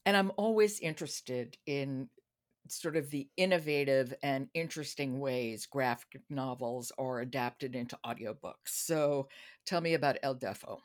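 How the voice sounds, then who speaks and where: Casting: female interviewer with a deep-set voice, chatting and asking questions as podcast host. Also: unhurried. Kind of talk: conversational interviewing.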